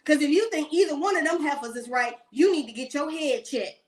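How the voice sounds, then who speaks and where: A woman's voice: sits high, a little fast. A woman in her late thirties giving blunt, sassy celebrity gossip commentary in a rambling rant. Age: 30s.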